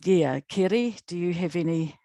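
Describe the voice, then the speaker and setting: A woman speaking reflectively on an online panel, halting and repeating words as she thinks aloud. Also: lowish voice, warm tone, no room sound. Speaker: project partner. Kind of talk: online webinar panel discussion. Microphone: video call.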